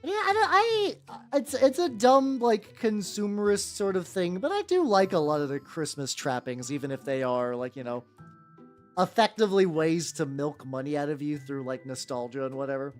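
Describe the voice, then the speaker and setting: Chatty high-voiced young man, rambling. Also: lively intonation, normal speed. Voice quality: relatively high-pitched for a man. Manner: rambling, repeats words, laughs often, squeals in playful high bits. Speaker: VTuber streamer. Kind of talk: casual livestream chat while painting miniatures. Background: soft background music.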